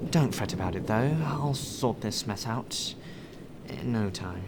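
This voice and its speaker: A man acting as a polite, deferential male butler in a soft, formal ASMR audio roleplay. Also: fairly low pitch, very noisy. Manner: formal, deferential, measured, sighing.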